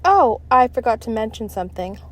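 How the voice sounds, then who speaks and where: A woman's voice: a little high, big pitch swings, brisk. A woman voicing a playful, prank-loving female schoolteacher with teasing mock innocence in a children's story. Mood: gleeful mischief.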